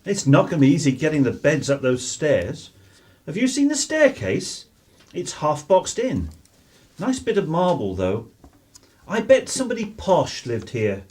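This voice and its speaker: A down-to-earth father acted by a man. His fairly high voice is very animated, grumbling and teasing in a radio play. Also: a little slow. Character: down-to-earth working-class English father, gruffly teasing.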